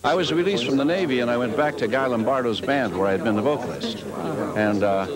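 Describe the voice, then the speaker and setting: Older man reminiscing amid loud crowd chatter. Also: mid-range voice, fast talker. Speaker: retired band vocalist interviewee. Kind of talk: reminiscing interview talk. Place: busy restaurant dining room. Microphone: handheld interview microphone.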